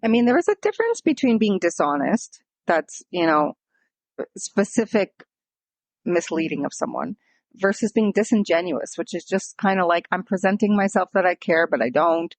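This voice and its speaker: Brief: a woman with a gravelly, fry-laden voice, podcast co-host who explains things, dry close mic. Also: fairly low pitch, lively intonation, moderate pace, dry recording. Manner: explaining and clarifying points. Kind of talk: casual conversational podcast.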